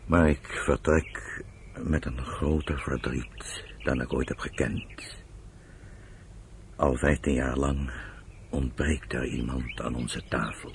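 An actor playing a proud, stubborn older father weighed down by grief, speaking gravely in a radio play. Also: rumbling low, a little hiss or hum, heavily acted. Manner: slow, grave, halting into near whispers. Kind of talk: radio drama acting.

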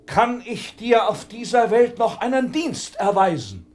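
A man acting a composed nobleman who addresses a spirit in a radio drama, earnest, formal and measured. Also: high-pitched, sing-song. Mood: earnest, moved. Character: composed nobleman questioning his dead friend's ghost.

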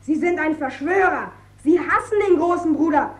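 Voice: high-pitched, moderate pace, lightly acted. A performer playing a fanatical child in a radio drama, barking accusations of treason like slogans. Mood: fierce, accusing. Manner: shouting accusations in barked slogans. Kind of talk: German radio drama acting.

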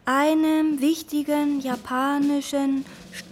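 An actress playing a timid twenty-three-year-old wife who reads poorly, speaking haltingly in a radio drama. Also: female voice, high-pitched, little pitch movement, darkish tone, a little hiss or hum. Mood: halting, effortful. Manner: halting, stumbling when reading aloud.

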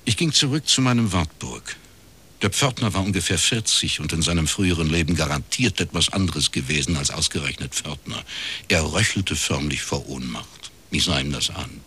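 An actor playing a dry, probing private detective, matter-of-fact and laconic in a radio crime drama. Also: male voice, a bass voice, moderate pace, sharp tone, breathy edge, heavily acted. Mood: dry, narrating. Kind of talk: radio drama dialogue and first-person narration.

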